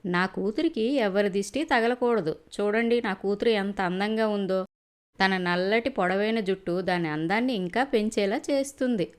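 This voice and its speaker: A woman's voice: mid-range voice, normal speed, natural voice. A woman playing a proud, doting young mother in a cartoon story, fussing affectionately.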